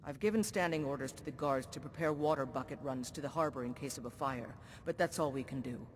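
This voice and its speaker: An actor voicing an older jarl and former soldier who speaks in a measured, formal, commanding way. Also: male voice, natural voice. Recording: game audio with long reverb and faint rumble.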